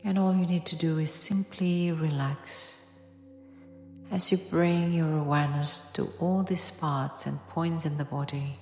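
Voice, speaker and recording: A woman with a low voice guides a yoga nidra meditation. She speaks softly and without hurry, leaving long pauses between instructions. Her voice sits in a long, echoing reverb over gentle music with singing bowl tones. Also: fairly even intonation. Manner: soft, soothing, unhurried instruction with long pauses.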